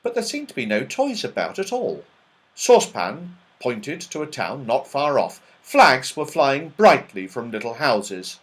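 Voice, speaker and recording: A man reads a children's storybook aloud in a medium-pitched voice. His intonation swings widely, and the delivery is dramatic and expressive throughout. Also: measured pace. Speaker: audiobook reader.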